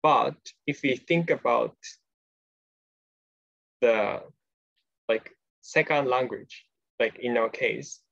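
Slow, gravelly man. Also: medium pitch, fairly bright tone. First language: Japanese. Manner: slow, tentative, hedging. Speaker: podcast co-host. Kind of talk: academic discussion over a video call. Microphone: video call. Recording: lo-fi video call audio.

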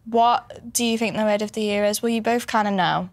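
A woman's voice: medium pitch, steady tone, brisk, no echo. A woman in her twenties hosting a casual podcast chat, talking freely and laughing often. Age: 20s. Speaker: podcast host.

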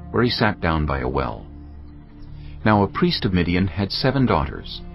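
Deep solemn male Bible narrator. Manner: measured, solemn. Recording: dull studio track under music.

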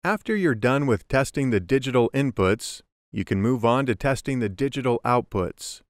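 A man's voice: medium pitch, animated, moderate pace, no room sound. Man narrating a tutorial, dry close sound. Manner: clear, methodical explaining. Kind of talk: scripted instructional narration. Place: close, dry voice-over recording.

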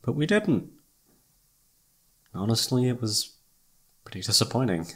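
A man plays an impulsive young male best friend in a roleplay audio drama. His voice is mid-pitched and swings widely as he talks. He speaks casually and emotively, with a hesitant, lovestruck awkwardness. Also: big pitch swings, unhurried, a bit bright, husky, heavily acted. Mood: frank, mildly defensive. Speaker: voice actor.

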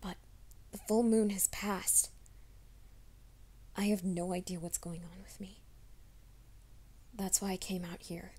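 A woman voicing a gentle, nervous young male werewolf in an ASMR roleplay, speaking softly and often in whispers. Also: lowish voice, fairly even intonation, slow pace, pauses often, breathy edge, heavily acted. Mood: soft reassuring. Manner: soft, hushed, often whispering.

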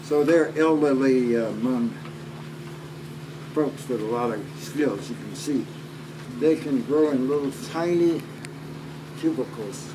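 A man's voice: medium pitch, measured pace, long pauses. An older man with an American accent chatting casually in a matter-of-fact way. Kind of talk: casual chat. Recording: off-mic, distant, with steady ambient noise.

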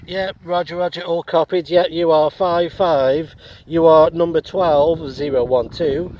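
A man's voice: fairly high pitch, steady tone, unhurried, muffled recording. A middle-aged man chatting casually and a little hesitantly outdoors, as an amateur radio operator making a vlog. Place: outdoors on open heathland by a road.

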